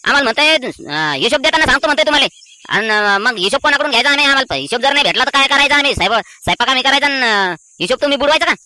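Man as a fast-talking, defensive cartoon cook. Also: very high voice, lively intonation, sharp tone, heavily acted. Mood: aggrieved. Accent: rural Maharashtrian. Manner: defensive, argumentative. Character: harried, stubborn male wedding cook. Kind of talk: cartoon comedy dialogue.

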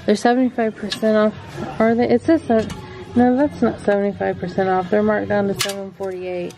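A woman's voice: mid-range voice, fairly even intonation, normal speed, warm tone, soft background music, poor audio. A middle-aged woman, filming a chatty shopping vlog in a home decor store and gushing over cute items on a handheld camera. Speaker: vlogger. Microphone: handheld camera mic.

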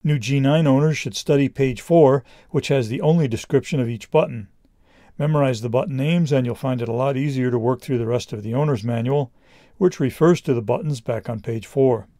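A middle-aged man reading a scripted product review in a measured, explanatory way. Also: medium pitch, mellow, dry recording, studio quality.

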